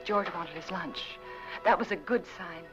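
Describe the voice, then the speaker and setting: A woman playing a gentle, anxious wife caring for an ill husband, kindly and motherly, in an old-time dramatic style. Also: fairly low pitch, unhurried, lightly acted. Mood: reflective. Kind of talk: old-time dramatic acting with confiding narration. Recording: dull vintage recording, music underneath.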